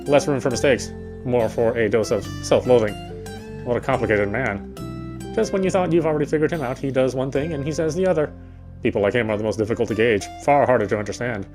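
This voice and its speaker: A man reading a visual novel's narration aloud, now and then stumbling over a phrase and rereading it. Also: mid-range voice. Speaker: game player reading aloud. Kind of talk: scripted narration read aloud. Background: soft guitar game music.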